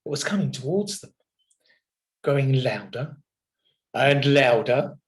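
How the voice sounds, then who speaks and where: A man narrating a children's storybook in an expressive, dramatic manner. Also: medium pitch, slow pace. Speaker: children's story reader at a primary school.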